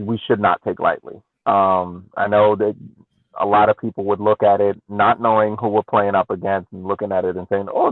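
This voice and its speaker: A man with a low, very creaky voice discusses sports in a measured, careful manner. He comes through a narrow, phone-like channel, as if on a remote call. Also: no echo. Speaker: sports podcast panelist. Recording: dull, lo-fi voice channel.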